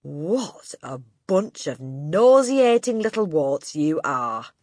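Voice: mid-range voice, sing-song, slow pace, a little mellow, slightly rough, no echo, studio quality, full character voice. A storybook narrator voicing a gigantic, tyrannical headmistress who barks and sneers insults with contempt. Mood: contemptuous, commanding. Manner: barking, bellowing, sneering insults.

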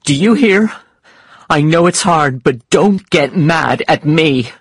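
Man playing a worried wife, scolding, emotional, raspy, dry studio sound, audiobook. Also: on the low side, normal speed, dry recording, heavily acted.

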